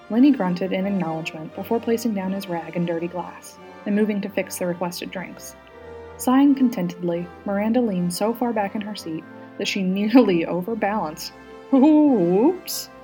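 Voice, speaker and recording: A woman narrating a story aloud as a guest reader, with an expressive, teacherly way of addressing listeners. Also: mid-range voice, normal speed, soft background music, rough recording.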